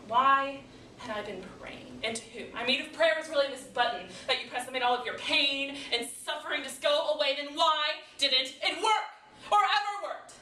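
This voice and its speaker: Woman acting grieving daughter, echoey stage monologue. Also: a little high. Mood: bitter, questioning. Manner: deliberate, dramatic pauses, swelling from hushed to pleading. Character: grieving daughter bitterly questioning God after her mother's death. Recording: echoey room, distant mic, background noise.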